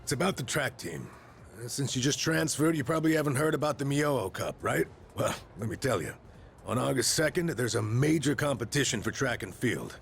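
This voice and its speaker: An eager high school athlete in a video game, with a creaky mid-pitched voice. He is friendly, chatty and animated, with faint music behind. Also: a little fast. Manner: casual, chatty.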